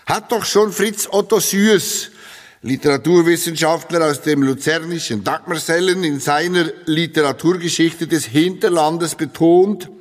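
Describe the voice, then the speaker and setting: A man giving a formal, solemn reading of satirical literary texts. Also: fairly high pitch. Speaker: reader. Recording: reverberant hall with music.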